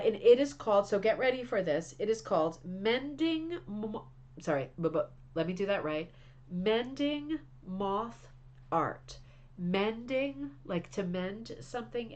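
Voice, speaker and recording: A woman hosting a craft club livestream, chatting casually and reading viewer messages with enthusiasm, sounding slightly congested. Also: mid-range voice. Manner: chatty, enthusiastic, frequent restarts and fillers.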